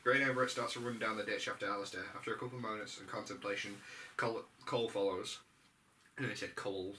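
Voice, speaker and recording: A man's voice: fairly low pitch, steady tone, fast, bright, forceful tone. A man reading stage directions aloud at an amateur script read-through, sometimes stumbling over the text. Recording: distant mic, faint room noise.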